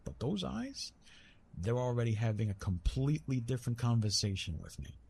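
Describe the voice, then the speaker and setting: A man playing a retired god of love in an intimate ASMR roleplay, tender and teasing, speaking softly with a husky, breathy voice and halting, reassuring delivery. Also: medium pitch, very animated, heavily acted. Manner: gentle, reassuring, halting with pauses and self-corrections.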